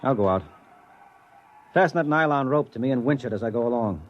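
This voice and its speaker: An actor playing a gruff, determined space explorer who narrates and gives orders in a decisive way, in 1950s radio drama style. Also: male voice, mid-range voice, animated, fairly quick, lightly acted. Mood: grim. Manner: decisive, commanding. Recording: dull old radio recording, loud music.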